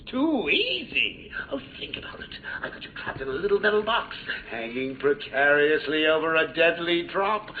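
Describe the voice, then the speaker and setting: An actor playing a gleeful, sadistic clown villain in a video game, taunting and mocking with manic laughter. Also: male voice, fairly high pitch, roomy sound, slight background noise. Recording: tinny distant loudspeaker sound with music.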